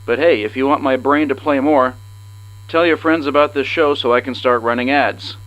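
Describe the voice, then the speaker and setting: A man's voice: medium pitch, normal speed, fairly bright tone, muffled recording. A man hosting a podcast, casually addressing his listeners with an announcement. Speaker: podcast host.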